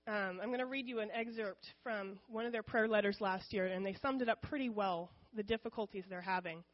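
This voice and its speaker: A woman's voice: medium pitch, brisk, dull audio. A woman giving an informal missions talk to her church in a candid, conversational way, with frequent ums. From United States.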